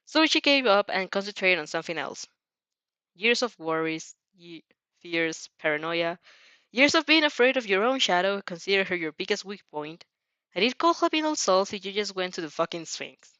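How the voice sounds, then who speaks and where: A woman reads fan fiction aloud with animated, swooping pitch and the odd stumble over long words. Her voice sits at a middling height, in a dry, slightly muffled lo-fi recording. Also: moderate pace, no room sound. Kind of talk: expressive second-person story narration.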